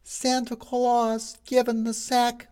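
A man voicing a young boy newspaper seller who shouts headlines and talks back boldly. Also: very high-pitched, monotone, slow, clean tone, pitched above the performer's own voice. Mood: shouting headlines.

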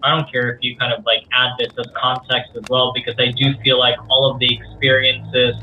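A man in his thirties whose first language is Bisaya, speaking English in a quick, chatty way as a guest on an interview podcast. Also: medium pitch, flat delivery, lo-fi sound, a little hiss or hum. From Philippines, grew up partly in the Middle East. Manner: quick, chatty, self-correcting. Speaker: guest, visual effects artist and comics co-founder. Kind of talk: casual interview talk.

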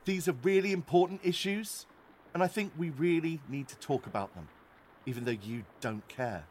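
An actor playing a sanctimonious young activist influencer held captive, preachy and indignant, in an audio drama. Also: male voice, on the high side, normal speed, darkish tone, a little hiss or hum, close to an everyday voice. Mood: defensive.

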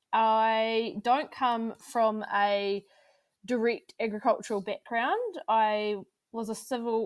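A woman's voice: medium pitch, dry recording. A woman, co-hosting a casual podcast and chatting with many fillers. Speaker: podcast co-host.

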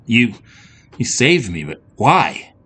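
A man voicing a glib, excitable young woman, a reluctant storybook heroine with casual modern speech. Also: medium pitch, slow, a little hiss or hum, voice pushed up, full character voice. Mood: bewildered.

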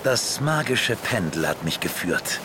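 A man's voice: on the low side, sing-song, a little slow, noisy background. A man playing an iron angel, a supernatural guardian who speaks in a solemn, portentous and formal way in an audio drama. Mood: solemn, foreboding.

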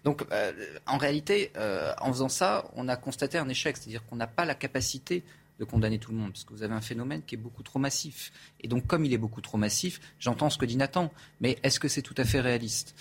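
A man giving measured analysis as a debate guest, hesitating often as he lays out options. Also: mid-range voice, lively intonation, vocal fry, breathy edge. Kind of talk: studio debate.

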